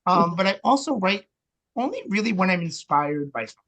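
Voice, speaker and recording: A man's voice: high-pitched, big pitch swings, brisk, fairly bright tone. A man speaking casually as an interview guest, in a conversational, joking way. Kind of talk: casual chat.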